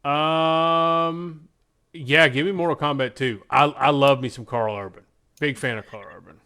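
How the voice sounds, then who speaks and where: A man's voice: fairly high pitch, steady tone, unhurried, pushed and bright, clean tone. A man co-hosting a podcast, chatting casually in an informal, slangy, emphatic way. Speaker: podcast co-host. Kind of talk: casual chat, picking movies in a bracket game.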